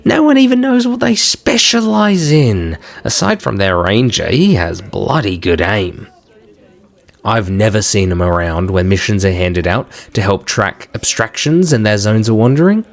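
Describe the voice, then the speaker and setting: Man voicing a deep, gravelly, worried crocodile. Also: very animated, unhurried, full character voice. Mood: grumbling. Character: worried, skeptical male crocodile, protective best friend. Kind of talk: fan audio drama acting.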